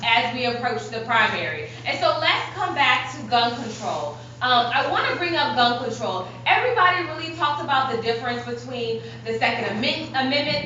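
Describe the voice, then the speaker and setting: A woman moderates a candidate forum, informal and halting, her pauses filled with ums. Her voice is a little high. The sound is distant and dull, with a very reverberant hall and steady noise behind.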